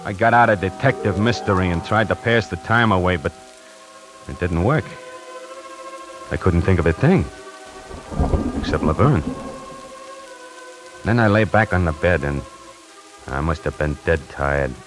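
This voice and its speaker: A man's voice: sits low, lively intonation, measured pace, pauses often. An actor playing a streetwise salesman, narrating in a colloquial, wry way in 1940s radio acting. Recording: vintage 1940s radio broadcast with music.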